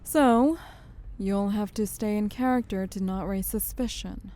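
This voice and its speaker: Woman playing slow, mellow syndicate muscle. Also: medium pitch, natural voice. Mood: knowing, advising. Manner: unhurried, knowing, teasing. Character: wry, worldly female syndicate muscle, a secret informant. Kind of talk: audio drama acting.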